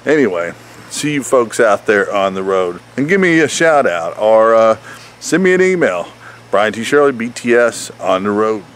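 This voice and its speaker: A man's voice: big pitch swings, normal speed. A man talking casually and ramblingly as a touring comedian promoting his show in a vlog, with noise behind him. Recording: noisy, engine and road-like rumble.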